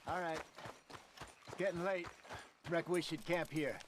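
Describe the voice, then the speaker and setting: An actor playing a patient older American outlaw mentor in a western video game, measured and gently chiding. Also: male voice, on the high side, noisy background, natural voice. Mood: instructive, wry. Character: wise, patient old male outlaw mentor. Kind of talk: cinematic western game acting.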